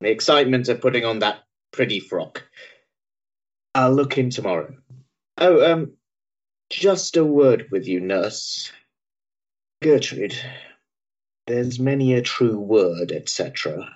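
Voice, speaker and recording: An actor playing a dry, jovial Victorian doctor in a period stage drama, brisk and teasing in manner. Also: male voice, medium pitch, expressive, muffled recording. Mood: brisk, confiding.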